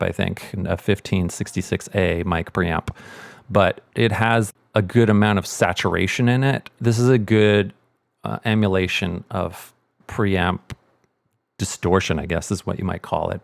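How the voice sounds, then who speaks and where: A man's voice: low-pitched, steady tone, a little slow, vocal fry, no echo. A middle-aged man hosting a casual audio tutorial, explaining things as a sound mixer would. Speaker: host, sound editor and mixer.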